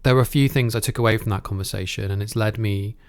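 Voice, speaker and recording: A man hosting a conversational interview podcast, thinking aloud in a halting way. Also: on the low side, fairly even intonation, clear, resonant tone.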